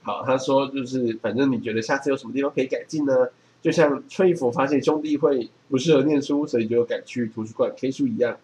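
A man's voice: medium pitch, quick pace, a little mellow, rough recording. A man with a Taiwanese accent chatting casually as a co-host, explaining things and giving advice. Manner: explanatory, advising. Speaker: podcast co-host. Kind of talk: casual chat.

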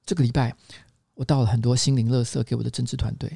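A man's voice: medium pitch, flat delivery, fast, gravelly, mellow, breathy edge, dry recording, studio quality. A man with a Taiwanese Mandarin accent hosting a casual comedic podcast, teasing and mock-scolding as he chats. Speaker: podcast host.

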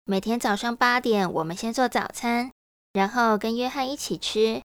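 A woman acting a friendly, brisk young sister-in-law who serves as caregiver, in an audio drama. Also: a little high, no room sound, lightly acted. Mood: cheerful, breezy.

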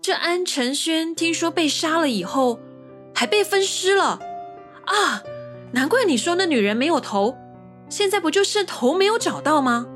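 Actress as sassy young woman, high-pitched, impatient, teasing, radio drama, faint music. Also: expressive, moderate pace, a bit bright. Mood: curious, gossipy. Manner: teasing, scolding. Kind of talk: modern suspense radio drama acting.

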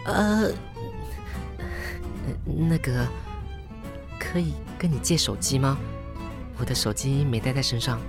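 A woman's voice: rumbling low, expressive, slow pace, long pauses, music in the background, full character voice. A woman with a Taiwanese Mandarin accent playing a flustered, self-mocking young woman in an audio drama, hesitant and polite aloud, blunt when muttering to herself. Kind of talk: audio drama acting with spoken inner monologue.

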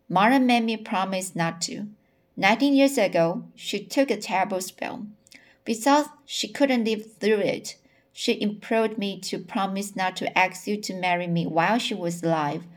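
A woman acts out a long-suffering middle-aged suitor from a storybook. The pleading is anguished and halting, delivered slowly. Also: mid-range voice, natural voice. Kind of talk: storybook reading.

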